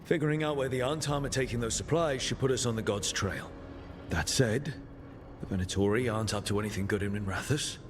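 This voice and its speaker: A man's voice: mid-range voice, brisk, close to an everyday voice. A man acting a resolute, plain-spoken leader of adventurers in a video game. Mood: determined.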